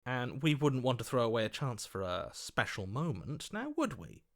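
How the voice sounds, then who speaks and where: Smarmy, wheedling opportunist, swooping pitch. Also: male voice, big pitch swings, normal speed. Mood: ingratiating. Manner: ingratiating, wheedling. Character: smarmy, fickle, self-serving male opportunist, an inner voice. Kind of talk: video game character acting.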